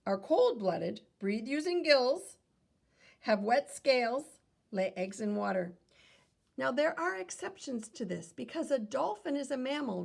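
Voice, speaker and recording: A woman reading a children's nonfiction book aloud in a teacherly way, pausing for questions and small self-corrections. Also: on the high side, vocal fry. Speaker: read-aloud reader and teacher.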